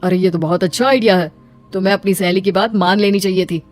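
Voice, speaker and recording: A woman's voice: medium pitch, fairly even intonation, fast, lightly acted. A woman plays a young cartoon husband, supportive and reassuring. The voice has music underneath it. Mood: agreeable.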